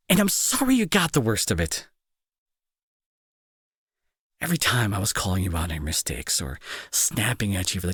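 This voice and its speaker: Man acting a husky, remorseful bully. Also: a little high, pushed and bright, no room sound, studio quality, exaggerated voice. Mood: anguished, apologetic. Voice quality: husky, breathy. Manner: halting, emotional, earnest pleading. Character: angry male bully turned remorseful, protective, grieving brother. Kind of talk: intimate dramatic audio roleplay monologue.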